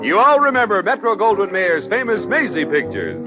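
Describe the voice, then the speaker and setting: A man's voice: sits high, wildly swooping pitch, a little fast. A man announcing a 1950s radio show in a grand, theatrical style. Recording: dull old radio recording, loud music.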